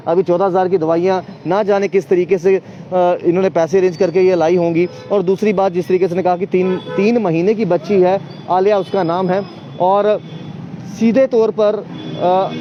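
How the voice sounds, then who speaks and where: A man working as a news reporter, asking earnest leading questions outdoors and filling pauses with "uh". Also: high voice, slight background noise. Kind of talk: field news report and interview. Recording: dull lo-fi outdoor news audio.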